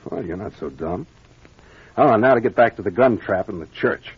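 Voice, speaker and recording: Husky, animated radio detective captain. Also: male voice, fairly low pitch, moderate pace. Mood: brisk, explanatory. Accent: American. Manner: expository, authoritative, plain-spoken with gangster slang. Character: brisk, confident captain explaining a solved mystery. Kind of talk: 1940s old-time radio mystery acting. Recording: dull, lo-fi vintage radio recording.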